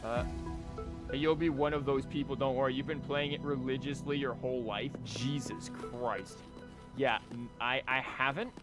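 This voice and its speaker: A man's voice: medium pitch, fairly even intonation, normal speed. Rambling male game streamer over music. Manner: rambling, joking asides. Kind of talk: casual live game commentary, reading dialogue aloud. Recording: music behind the voice.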